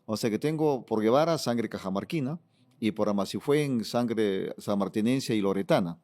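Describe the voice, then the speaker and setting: A man's voice: lowish voice, moderate pace, a little mellow. A middle-aged Peruvian man answering interview questions as a politician, explaining at length with frequent fillers. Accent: Peruvian Spanish. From Peru. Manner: explanatory, fond of "fíjate" and "eh" fillers. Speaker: politician, former regional governor.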